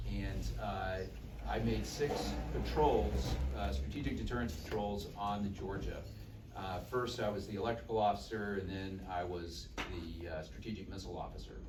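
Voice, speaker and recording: A man in his fifties from Dallas, Texas, giving a campaign speech, telling his story in a relaxed way with lots of fillers and self-deprecating jokes. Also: fairly low pitch. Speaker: political candidate. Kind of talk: campaign speech to a local group. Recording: echoey room, mic far off, noisy.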